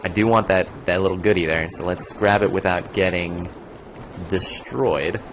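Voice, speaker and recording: A man's voice: low-pitched, steady tone, normal speed, a little hiss or hum. A young man streaming a video game, chatting casually, rambling and joking as he plays. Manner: rambling, joking, breaks into goofy voices. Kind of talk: casual gaming commentary.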